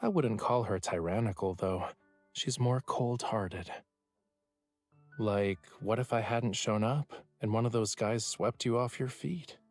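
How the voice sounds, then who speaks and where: Low-voiced, teasing young lover. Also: male voice, measured pace. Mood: mock hurt. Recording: music behind the voice.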